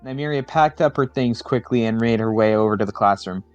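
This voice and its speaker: A man's voice: lowish voice, fairly quick, darkish tone, muffled recording, soft background music. A man doing a casual amateur reading of a story, repeating and correcting misread words as he goes. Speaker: reader of fan fiction on a livestream.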